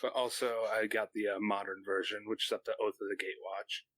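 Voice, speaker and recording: A man's voice: fairly low pitch, flat delivery, a little fast, a bit bright, poor audio. A man chatting casually about games on a podcast. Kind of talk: casual gaming chat.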